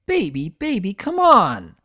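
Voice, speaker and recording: A man voicing a stammering, smooth-talking pegasus stallion in a story reading. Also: high voice, huge pitch swings, slow, bright, forceful tone, voice pushed up, full character voice. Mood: pleading. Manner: pleading, stammering.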